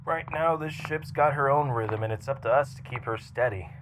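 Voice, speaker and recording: A man playing a seasoned, flirty and chatty spaceship mechanic in an intimate ASMR roleplay, teasing and breathy, dropping toward a whisper. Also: mid-range voice, normal speed, close to an everyday voice. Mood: musing, chatty. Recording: clearly noisy ambient background hum.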